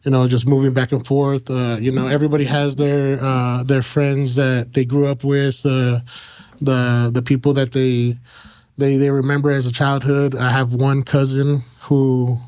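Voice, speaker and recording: A man in his thirties with an American accent shares his life story in a casual interview. His voice is clear and resonant and stays fairly level in pitch. He feels his way through sentences haltingly, pausing often on small fillers. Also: flat delivery, darkish tone. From Kansas and Mexico. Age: 30s. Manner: halting, frequent "you know" pauses. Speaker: interview guest.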